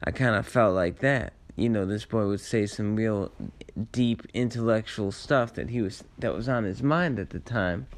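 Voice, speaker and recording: A man with a raspy voice, tired late in the day, telling personal stories in a casual, rambling, halting and reflective way with laid-back slang. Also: on the low side. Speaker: storyteller sharing personal memories.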